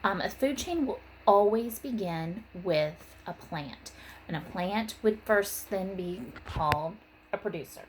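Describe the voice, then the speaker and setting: A woman's voice: medium pitch, lively intonation, measured pace. Woman teacher, noisy recording. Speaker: third grade teacher. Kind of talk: informal recorded lesson and children's story read-aloud.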